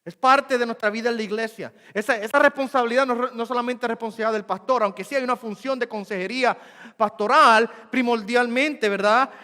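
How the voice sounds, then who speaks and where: A male pastor preaching a sermon, rising loud and slow on key points and dropping to quick, quiet asides. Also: very high-pitched, in a large hall. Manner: swells loud and slow for emphasis, then drops to quick murmurs. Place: church sanctuary.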